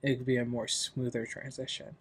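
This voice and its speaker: A man hosting a software tutorial in a casual, loosely explanatory, informal way. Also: medium pitch, level tone, fairly quick, husky. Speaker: tutorial host.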